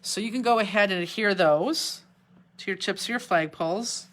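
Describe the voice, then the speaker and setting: Woman, cleveland accent, chatty craft class host, mid pitch, works while she talks. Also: moderate pace, a bit bright. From Cleveland, Ohio. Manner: chatty, answers viewer comments while working. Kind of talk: live online craft demonstration. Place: craft studio, indoors.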